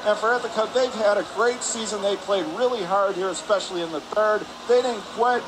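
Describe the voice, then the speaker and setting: A man doing excitable live radio play-by-play of a hockey game, shouting on big plays. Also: sits high, brisk. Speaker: play-by-play announcer. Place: indoor ice hockey arena. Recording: noisy arena broadcast with rink ambience. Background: loud ambient arena noise.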